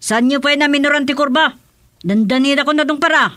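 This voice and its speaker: A woman's voice: high voice, brisk, a bit bright, dry recording. An actress playing a chatty, feisty woman in an Ilocano radio drama. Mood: scolding.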